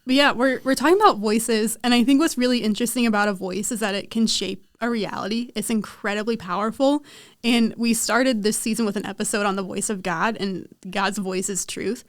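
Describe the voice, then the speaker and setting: A woman's voice: mid-range voice, a little fast, dry recording. A woman hosting a podcast in a casual, conversational way, speaking close to the mic. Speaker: podcast host.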